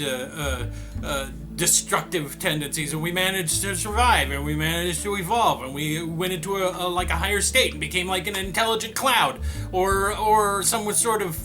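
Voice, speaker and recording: A man hosting a comedy segment who answers viewer questions in a deadpan, gloomy, rambling way. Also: high-pitched. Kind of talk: comedic scripted monologue. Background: guitar music.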